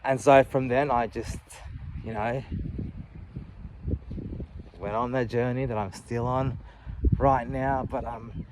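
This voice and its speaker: A man in his late thirties talking candidly about his life in a reflective, halting way, often restarting and adding "you know". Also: mid-range voice, steady tone, a little mellow, a little hiss or hum, low-quality recording. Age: 30s. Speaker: vlogger. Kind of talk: personal reflective monologue.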